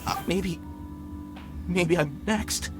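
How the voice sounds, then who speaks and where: A man's voice: high voice, very slow. A man playing a frightened mine worker in a video game, anxious and stammering. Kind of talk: video game character acting. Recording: loud music behind the voice.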